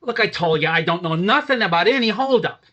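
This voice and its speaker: A man's voice: high-pitched, animated, fairly quick, bright, forceful tone, dull audio, exaggerated voice. An actor in his forties playing a cagey, evasive male crook in a radio drama. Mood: wary. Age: 40s. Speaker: suspect.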